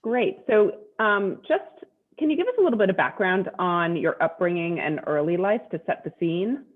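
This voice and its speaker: A woman's voice: lowish voice, animated, moderate pace. A woman from the United States hosting a podcast interview, asking chatty questions with many fillers. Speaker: podcast host. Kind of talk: conversational interview. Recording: tinny phone-like line.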